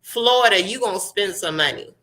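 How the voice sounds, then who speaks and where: A woman's voice: mid-range voice, fairly quick, rough recording. Chatty congested woman hosting a live chat. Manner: chatty, animated, laughs often. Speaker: host.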